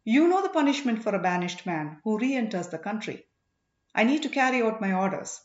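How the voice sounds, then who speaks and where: A woman's voice: fairly high pitch, very animated, brisk, echoey room, muffled recording. Woman voicing a sneering, slyly deferential male commander. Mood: insinuating. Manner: mocking, feigned deference.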